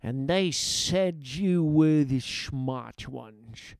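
A man voicing a gruff, bullying guard in an audiobook, with a menacing growl and snarl. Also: medium pitch, big pitch swings, moderate pace, dark tone, dry recording, close to an everyday voice. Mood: menacing, scornful. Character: gruff, bullying thug guards and their captain.

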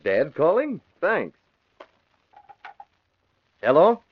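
An actor playing a resolute newspaper publisher and secret crimefighter, in the style of 1940s radio drama. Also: male voice, a little high, huge pitch swings, extremely slow, full character voice. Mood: brisk. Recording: muffled, hissy old radio recording.

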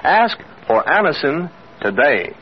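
A man's voice: fairly high pitch, big pitch swings, takes it slowly, loud music behind the voice. A man with an American accent delivering a scripted radio commercial as an announcer in 1940s style. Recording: muffled vintage radio broadcast.

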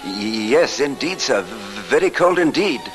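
A meek, poor little juggler, as a male narrator puts him on in an old radio play. He speaks humbly and haltingly over clearly audible music. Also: medium pitch, sing-song. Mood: shivering, timid. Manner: stammering, meek, deferential. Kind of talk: 1940s radio drama acting.

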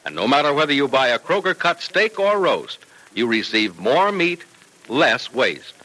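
A man's voice: mid-range voice, sing-song, moderate pace, sharp tone, poor audio. A man announcing and narrating in a polished, emphatic old-time radio style, on a vintage broadcast recording.

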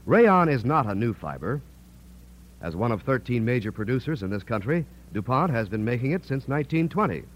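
Male vintage radio spokesman, scripted sales read, muffled sound with faint noise. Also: mid-range voice. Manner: polished, declarative sales delivery. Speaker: company spokesman, commercial announcer. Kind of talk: 1940s radio advertisement, scripted. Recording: dull, noisy old radio recording.

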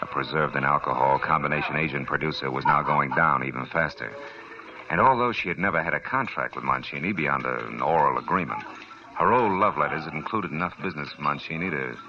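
An actor playing a wisecracking hard-boiled private detective who narrates a 1940s radio drama. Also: male voice, very deep voice, bright, forceful tone, full character voice. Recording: dull old radio recording with music.

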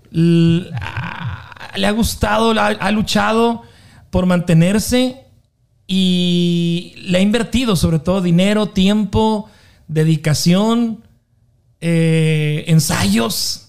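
High-voiced podcast host. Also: male voice, slow, clear, resonant tone. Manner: relaxed and chatty, slangy, many fillers. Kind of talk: casual interview chat.

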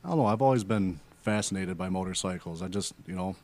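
A man's voice: deep voice, fast, a little mellow. A thirty-year-old man from Wisconsin chatting casually as a podcast guest, giving short, laid-back answers. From Wisconsin, United States. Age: 30s. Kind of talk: casual chat.

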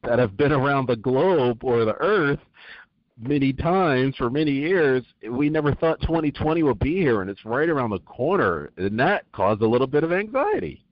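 A man's voice: mid-range voice, animated, normal speed, dry recording. A man hosting an interview, asking earnest, rambling questions. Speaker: interview host. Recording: muffled dry audio.

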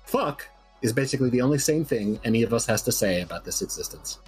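A man's voice: medium pitch, animated, quick pace, breathy edge, soft background music. A man playing a bleakly nihilistic talk-show psychologist in a dramatic reading, lecturing a caller in a blunt, condescending manner. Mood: bleakly matter-of-fact.

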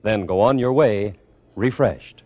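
A man with an American accent announcing in a scripted, polished and measured 1950s radio style. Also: lowish voice, no echo. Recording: dull, band-limited old radio recording.

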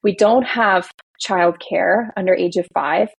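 Husky-voiced woman explaining workers' rights. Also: fairly low pitch, unhurried, no room sound, lo-fi sound. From Belgium. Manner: earnest, explanatory, speaks in long building lists. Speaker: employment attorney guest. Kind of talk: casual podcast interview.

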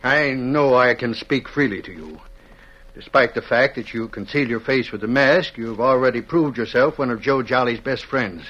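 An actor playing an earnest small-town judge and banker, cautious about money, in an old-time radio western. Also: male voice, medium pitch, normal speed, fairly bright tone, natural voice. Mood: frank. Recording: muffled old radio recording.

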